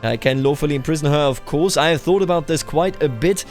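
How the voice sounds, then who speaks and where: A man with a somewhat high voice gives roleplay commentary on a game over faint music. He phrases things deliberately and repeats for emphasis. His voice sounds a little tender and strained. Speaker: gaming video host.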